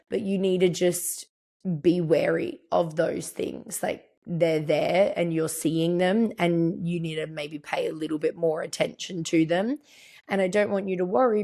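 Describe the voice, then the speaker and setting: Croaky-voiced woman telling a story. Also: lowish voice, darkish tone. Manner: chatty, reflective, quotes her own thoughts. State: croaky, partly lost voice. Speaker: podcast host. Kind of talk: casual storytelling monologue.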